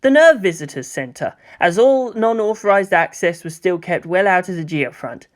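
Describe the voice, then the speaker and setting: Story narrator, medium-pitched and very animated, expressive scripted reading with dramatic emphasis. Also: normal speed. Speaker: audiobook narrator. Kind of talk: scripted narration of fan fiction.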